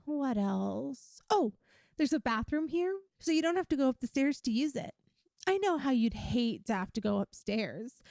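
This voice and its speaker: A woman playing a doting, possessive young yandere girlfriend in an ASMR-style roleplay, speaking to the listener in a cutesy, coaxing, teasing way with drawn-out emphasis. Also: on the high side, mellow, dry recording, studio quality.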